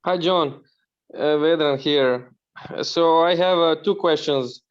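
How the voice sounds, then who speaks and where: Dull call audio, higher-voiced Croatian man. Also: takes it slowly, smooth. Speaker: audience member asking questions. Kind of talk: casual questions on an online call.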